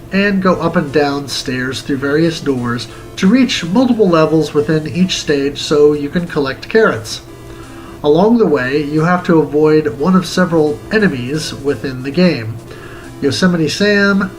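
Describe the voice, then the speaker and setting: A man hosting a retro game review, reading a wordy script in a measured, presenter-like way. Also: on the high side, poor audio. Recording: music bed under the voice. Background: music.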